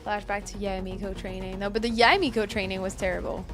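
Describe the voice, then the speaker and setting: A woman's voice: mid-range voice, steady tone, quick pace. A woman livestreaming a game, chatting casually with viewers and reacting in a gushing, exclamatory way. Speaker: livestream gamer. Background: game music.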